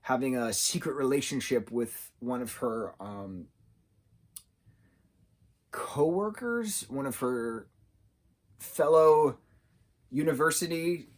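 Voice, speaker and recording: A man of about thirty giving a casual, unscripted TV review, rambling and halting as he searches for his words. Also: medium pitch, lively intonation. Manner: rambling, halting, loses his train of thought. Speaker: TV show reviewer.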